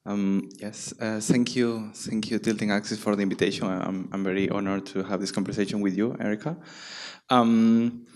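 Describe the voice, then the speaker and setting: A man in his late thirties from Peru, a curator speaking on a panel in a halting way, with many fillers and restarts. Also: lowish voice, steady tone, darkish tone. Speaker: guest curator. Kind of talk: panel conversation.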